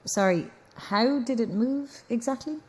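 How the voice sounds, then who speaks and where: A woman's voice: on the high side, unhurried, natural voice. An actress playing a sceptical, stubborn female news reporter in an audio drama, with an Irish accent and a blunt, probing manner. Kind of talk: naturalistic audio drama acting.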